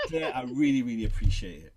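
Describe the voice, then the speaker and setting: A man from Southeast London with a London accent, hosting a faith interview in a playful, laughing, animated way. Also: medium pitch, noisy background. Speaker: host. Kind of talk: interview host, casual chat.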